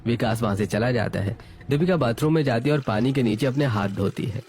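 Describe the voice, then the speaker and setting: A man's voice: mid-range voice, fairly quick, a little mellow. A man reading scripted narration for a family moral story, setting out scenes as the narrator.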